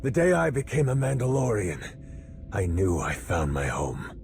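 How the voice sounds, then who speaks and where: A man's voice: fairly low pitch, very animated, moderate pace, over music. A man playing a bitter, proud warrior in a video game, defiant and biting in delivery. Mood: angry, proud. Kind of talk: dramatic video game acting.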